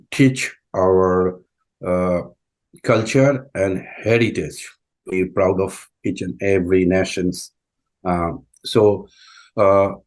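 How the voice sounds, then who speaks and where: A deep-voiced man, speaking English as an after Bengali. He talks slowly and haltingly, with frequent fillers and restarts as he answers interview questions. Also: a little mellow. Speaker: community school president, guest.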